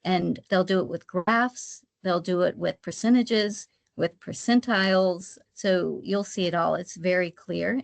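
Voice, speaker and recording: A woman's voice: on the low side, creaky. A woman giving an explanatory webinar presentation step by step, sometimes repeating her phrases as she goes. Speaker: guest presenter, credit rating specialist.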